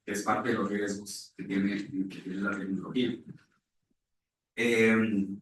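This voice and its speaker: A man's voice: on the low side, little pitch movement, takes it slowly. A man explaining something spontaneously as a conference panelist, hesitant and thinking aloud. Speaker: conference panelist presenting on stage design. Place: reverberant indoor conference room. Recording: very echoey room, distant mic, faint noise.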